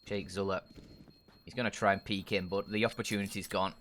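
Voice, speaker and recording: A man doing play-by-play commentary on an esports match, an in his speech, building to excited shouts on big plays. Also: deep voice, fairly quick, a little hiss or hum. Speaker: esports caster.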